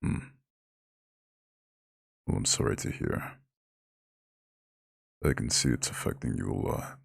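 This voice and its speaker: A man voicing an ancient eldritch god in an ASMR roleplay, proud and lordly yet tender, with a very deep, husky, gravelly growl. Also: no echo, studio quality, exaggerated voice. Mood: gentle, consoling. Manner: lordly, formal, slow comforting address. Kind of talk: scripted ASMR roleplay monologue.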